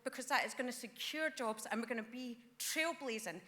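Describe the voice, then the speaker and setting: Woman delegate, earnest floor speech with ums; bright, forceful tone; long hall reverb. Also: on the high side, fairly quick. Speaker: councillor speaking at a party conference. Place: large conference hall.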